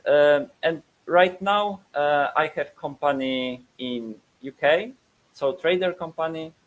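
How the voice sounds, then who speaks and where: Polish guy doing a webinar, lots of ums and restarts, normal pitch. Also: poor audio. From Poland. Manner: hesitant, many fillers and repeated words. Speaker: webinar presenter. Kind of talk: webinar presentation.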